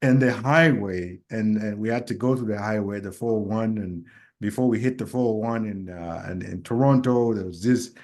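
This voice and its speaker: A man who grew up in Kenya, co-hosting a casual podcast and talking in a reflective, hesitant way full of pauses and fillers. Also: lowish voice, darkish tone. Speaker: podcast co-host.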